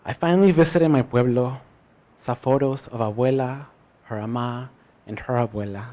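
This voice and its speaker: A man reciting spoken-word poetry as a poet, with deliberate, emphatic phrasing and dramatic pauses. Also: medium pitch, warm tone.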